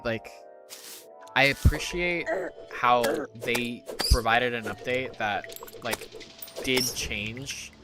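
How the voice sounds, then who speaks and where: A young man from Puerto Rico talks slowly and casually while playing a game, drifting from topic to topic and reading messages aloud. Game music plays clearly behind his voice. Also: mid-range voice. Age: young adult. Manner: rambling, easily distracted, reads chat aloud. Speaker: streamer. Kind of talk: casual gaming livestream chat.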